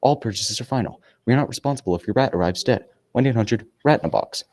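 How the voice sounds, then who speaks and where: A man playing a brash pitchman in a parody radio commercial, salesy and emphatic. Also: expressive, fast talker, darkish tone, lightly acted. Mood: brisk disclaimer. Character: brash male pitchman selling an absurd product.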